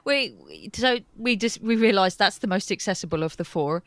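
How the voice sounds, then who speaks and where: A woman's voice: medium pitch, lively intonation, normal speed, no room sound. A woman from Europe co-hosting a podcast in a casual chat, speaking English as a second language, rambling and restarting her sentences. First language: not English. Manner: rambling, self-interrupting, giggly. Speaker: podcast co-host. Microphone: remote call.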